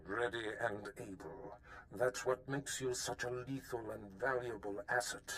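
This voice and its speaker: A man playing a cold, scheming Sith lord in a video game, measured, menacing and condescending, with rumbling noise underneath. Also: steady tone, a bit bright. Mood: smug approval.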